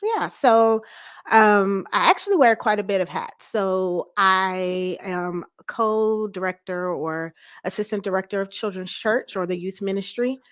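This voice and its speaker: A woman's voice: mid-range voice, a little slow, clear, resonant tone. A woman speaking as an interview guest in a conversational, plainspoken and self-assured manner. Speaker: guest, pastor's wife.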